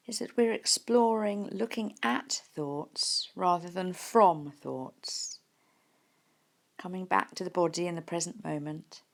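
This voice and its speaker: A woman leading a guided mindfulness meditation in a gentle, unhurried manner with soft pauses. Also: lowish voice, creaky. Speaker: meditation teacher.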